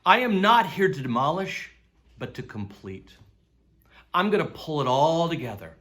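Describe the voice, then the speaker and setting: A man's voice: fairly high pitch, very animated, normal speed, sharp tone. A man reading scripture aloud as a church reader.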